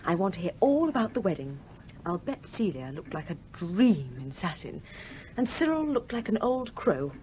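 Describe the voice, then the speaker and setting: An actress playing an outspoken, affectionate young daughter in a radio play. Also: female voice, on the low side, very animated, moderate pace, a little mellow, a little hiss or hum, poor audio. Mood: breezy, bright.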